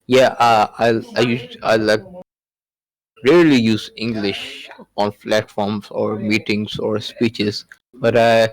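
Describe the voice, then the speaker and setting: A man's voice: on the low side, flat delivery, slow pace, rough recording. A man whose first language is Urdu, chatting casually in English on a podcast, hesitant, with many fillers and restarts.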